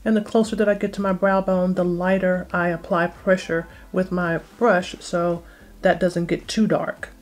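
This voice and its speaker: A woman's voice: lowish voice, flat delivery, moderate pace, darkish tone. A middle-aged woman casually talking viewers through a makeup tutorial step by step, in an explanatory way. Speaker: beauty vlogger.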